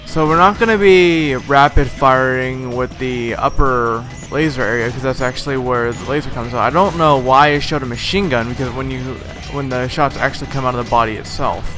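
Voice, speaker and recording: A slightly hoarse man giving casual, rambling commentary over a video game playthrough, with frequent filler words. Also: medium pitch, normal speed, few pauses. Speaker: let's play host. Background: game music.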